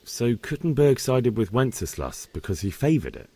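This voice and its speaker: An actor playing an earnest, inquisitive young blacksmith's son in a medieval video game. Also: male voice, medium pitch, sing-song, normal speed, mellow, husky. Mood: earnest, curious. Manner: questioning, earnest. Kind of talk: video game cutscene acting.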